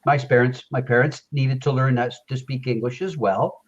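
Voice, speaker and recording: A man's voice: medium pitch, steady tone, normal speed. A man tutoring English in casual conversation, encouraging and repeating phrases for emphasis over a video call. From Canada. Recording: dull lo-fi video call audio.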